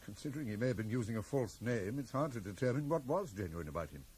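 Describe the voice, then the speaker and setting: An actor playing a middle-aged, very correct British professor in a radio drama, guarded, brusque and easily affronted. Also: male voice, lowish voice, animated, fast talker, a little mellow, lightly acted. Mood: dry, reserved. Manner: stiff, formal, brusque. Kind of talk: 1980s radio drama acting. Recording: faintly noisy 1980s radio broadcast.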